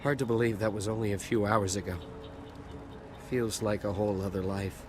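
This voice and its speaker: An actor playing a cowardly, frightened dock worker in a video game, with a stammering, hesitant and defensive way of talking. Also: male voice, lowish voice, steady tone, moderate pace, noisy background, close to an everyday voice. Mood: shaken, defensive. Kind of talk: video game dialogue acting.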